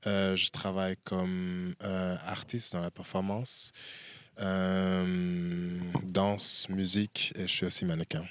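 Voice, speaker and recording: A young man from Belgium, talking as a guest in an outdoor festival interview. His voice sits very low and stays flat, and he speaks haltingly with frequent pauses and fillers. The sound is dry and close. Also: clear, resonant tone, no echo. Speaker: interview guest, dancer and performance artist. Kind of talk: casual interview.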